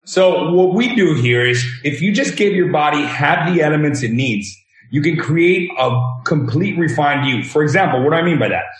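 A man's voice: fairly high pitch, lively intonation, moderate pace. A man in his thirties, from Venezuela, earnestly explaining his health practice as a guest. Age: 30s. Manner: explanatory, earnest, leans on examples. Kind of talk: interview answers. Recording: roomy echo.

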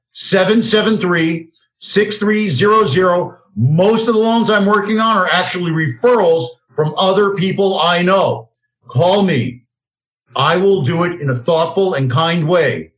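A man in his fifties hosting a talk radio show in a direct, self-assured, salesy way. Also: sits high. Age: 50s. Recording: roomy, echoey sound.

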